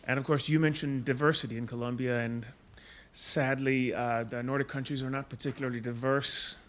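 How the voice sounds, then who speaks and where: A man from Denmark in the audience, asking a long question in a measured way with fillers. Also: medium pitch, steady tone, fairly bright tone. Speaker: audience member.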